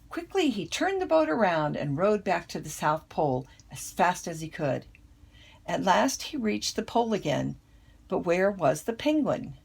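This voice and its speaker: Creaky, husky older woman reading a storybook. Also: lowish voice, darkish tone. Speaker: grandmother reading a picture book. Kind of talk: children's storybook read-aloud.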